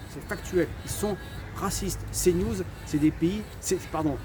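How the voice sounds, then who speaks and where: Hesitant higher-voiced man, outdoor street noise. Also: measured pace. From Algerian heritage, raised in France. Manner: hesitant, repeats words, says "voilà" often. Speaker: channel host giving opinions. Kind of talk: casual opinion talk, outdoors.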